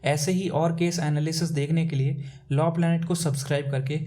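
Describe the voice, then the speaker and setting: A man's voice: on the high side, level tone, normal speed, mellow. An Indian man presenting a scripted educational narration in a formal, methodical explaining manner. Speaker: presenter of legal case explainers.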